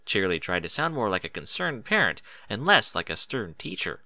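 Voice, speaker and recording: A man's voice: on the low side, sing-song, brisk, bright, forceful tone, dry recording. A man reading scripted story narration as an audiobook narrator.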